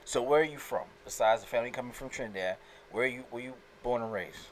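A man's voice: mid-range voice, fast talker, slight background noise. A man hosting a podcast, easygoing and joking, with frequent short affirmations. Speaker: podcast host. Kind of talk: casual podcast interview.

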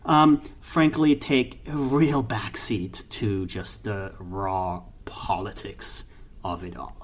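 A man's voice: lowish voice, fairly even intonation, takes it slowly. A man in his forties with an Austrian accent, a guest economist answering interview questions hesitantly with many fillers. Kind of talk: interview conversation.

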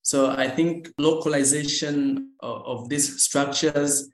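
A man's voice: mid-range voice, fairly even intonation, slow. A man with a Tanzanian accent giving explanatory interview answers as a guest, often repeating his key points. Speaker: interview guest, course participant. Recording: echoey room sound.

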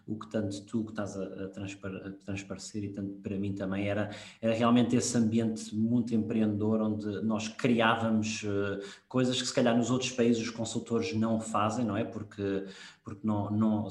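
A man's voice: on the low side, little pitch movement, a little fast, a little mellow, reverberant. A man with a European Portuguese accent interviewing a guest, asking informal and somewhat stumbling questions. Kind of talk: conversational interview.

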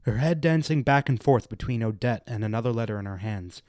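A man narrating a radio drama, reading stage directions in a deliberate, literary storytelling manner. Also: mid-range voice, darkish tone, no echo, studio quality.

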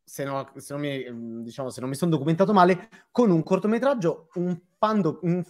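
A man's voice: a little high, fairly quick. A man hosting a casual live interview, talking in a hesitant, self-deprecating, jokey way. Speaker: host.

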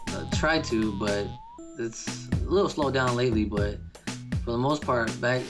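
Relaxed young male guest, mid pitch, rambling casual answers over clear music. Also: normal speed. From New Orleans. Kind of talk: casual interview chat.

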